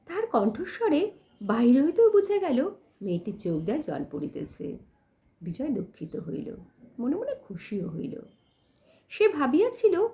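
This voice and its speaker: A woman reading a literary story as an audiobook narrator, in an expressive, dramatic manner. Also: medium pitch.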